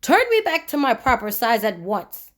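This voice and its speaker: A rude, haughty storybook gentleman, as a woman reader puts him on. He is miserable and curt, snapping indignantly with lively swings of pitch. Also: normal speed. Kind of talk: children's storybook character voice.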